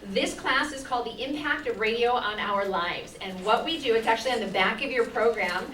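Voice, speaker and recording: A woman's voice: on the high side, brisk, fairly bright tone. A woman who leads a class, giving an upbeat, informal welcome speech to a live audience in an auditorium. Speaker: class leader introducing a live show. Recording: echoey hall from far off, faint noise.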